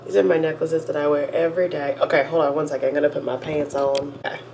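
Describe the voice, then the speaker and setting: A young woman talks into her phone at home as she gets ready, rambling and cutting herself off mid-thought. Her voice sits slightly low and sounds a bit stuffy from a cold she is getting over. A faint background hum runs under the recording. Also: flat delivery, fast, a little mellow. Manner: chatty, rambling, self-interrupting. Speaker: vlogger. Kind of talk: casual get-ready-with-me chat. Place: at home, indoors.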